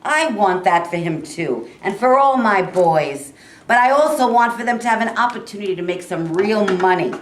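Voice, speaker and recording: A woman playing a stubborn, proud male college football coach in a staged reading, with an emphatic, deliberate delivery. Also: mid-range voice, expressive, close to an everyday voice, rough recording. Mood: insistent.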